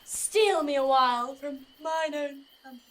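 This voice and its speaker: A woman's voice: high voice, normal speed, a little hiss or hum, natural voice. A woman playing a sorrowful, lovelorn young lady, plaintively declaiming verse in a Shakespearean audio drama. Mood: weary sorrowful.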